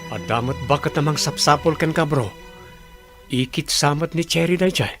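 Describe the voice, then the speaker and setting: An actor playing a casual, teasing construction worker with an Ilocano accent in a radio comedy-drama. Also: male voice, fairly high pitch, normal speed, over music, close to an everyday voice. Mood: teasing, grumbling.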